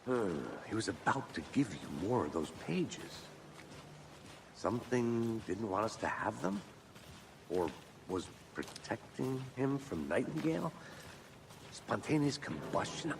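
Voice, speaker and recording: An actor playing a world-weary detective partner in a video game, with a dry, laconic delivery. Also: male voice, on the low side, big pitch swings, a little slow, creaky, noisy background, exaggerated voice. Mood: dry, wry.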